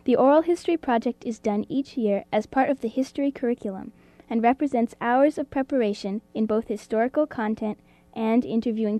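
A woman reading a scripted documentary introduction in an even, formal manner. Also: a little high, no room sound. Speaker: narrator.

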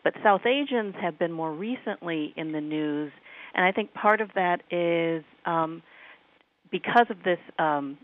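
A woman's voice: lowish voice, a little slow. A woman professor with a Midwestern accent, answering radio interview questions in a thoughtful academic way, with many ums. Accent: Midwestern American. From United States. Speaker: professor guest on call-in radio. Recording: tinny phone line.

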